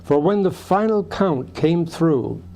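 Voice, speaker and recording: Male reader of rhyming poetry, slightly higher pitch, slow delivery. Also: expressive, dark tone. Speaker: reader of poems. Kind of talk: reading rhyming verse aloud.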